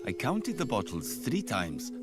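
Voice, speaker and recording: Theatrical, wildly swooping shopkeeper. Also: male voice, medium pitch, wildly swooping pitch, unhurried, over loud music. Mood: guarded, boastful. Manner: theatrical, addresses customer as Effendi. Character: shifty, secretive male merchant of caffeine shampoo. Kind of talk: video game character dialogue.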